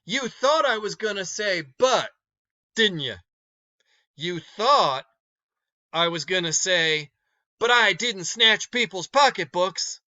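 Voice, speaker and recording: A man voicing a stern but kind, motherly older woman in a storybook read-aloud, scolding in a folksy, emphatic, drawn-out way. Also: sits high, expressive, pushed and bright, dull audio, voice pushed up, exaggerated voice. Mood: frank, firm.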